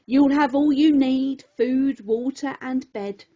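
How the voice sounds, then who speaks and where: A woman voicing a kindly, reassuring young male student in a children's storybook, with a gentle manner. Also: high voice, steady tone, slow, muffled recording.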